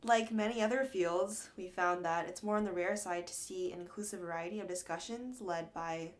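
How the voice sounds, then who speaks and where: A woman's voice: lowish voice, normal speed. A young woman from Atlanta co-hosting a casual college radio podcast, upbeat and laughing often between prepared lines. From Atlanta, Georgia. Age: young adult. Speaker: podcast co-host.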